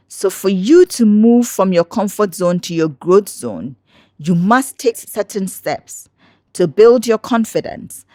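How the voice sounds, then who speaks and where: A woman's voice: fairly low pitch, a little mellow, no room sound, clean studio sound. A woman narrating a motivational lesson, speaking encouragingly and directly to the listener.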